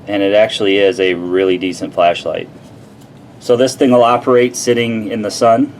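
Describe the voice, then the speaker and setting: A man talks through a product demonstration in a casual, plain-spoken way. His voice sits a little on the low side. The recording carries clear background noise as he explains things informally, like a reviewer. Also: little pitch movement, normal speed, a little mellow.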